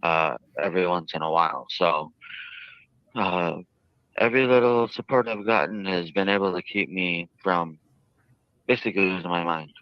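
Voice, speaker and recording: A man with a very low, clean voice speaks in halting, drawn-out phrases as he answers interview questions. The sound is very dry and somewhat muffled, like a lo-fi archive interview. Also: clear, resonant tone, dry recording. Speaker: jail inmate interviewed by a reporter.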